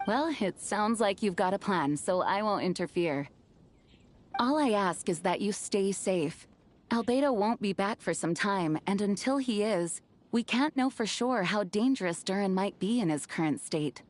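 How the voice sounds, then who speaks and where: A woman's voice: medium pitch, expressive, moderate pace, close to an everyday voice. A woman playing a composed, reassuring young female leader in a video game. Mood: cautious, caring.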